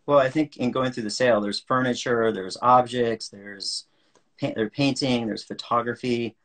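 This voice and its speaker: A man's voice: mid-range voice, moderate pace, fairly bright tone. A man in his forties hosting an interview over a video call, asking short prompting questions and adding brief reactions. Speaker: podcast host, interviewer. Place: home room indoors. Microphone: video call webcam.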